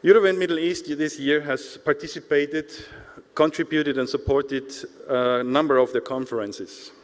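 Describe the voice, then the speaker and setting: A man's voice: on the high side, steady tone, normal speed. Man giving a formal speech in an echoing hall. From Austria. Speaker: industry association director giving an address. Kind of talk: formal prepared awards speech. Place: large indoor event hall, speaking to an audience. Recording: echoey hall, long reverb.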